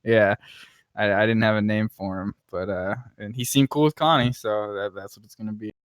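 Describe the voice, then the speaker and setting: A man's voice: on the low side, fairly even intonation, moderate pace, no room sound, studio quality. A man live streaming and chatting casually in an informal, rambling manner. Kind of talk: casual chat.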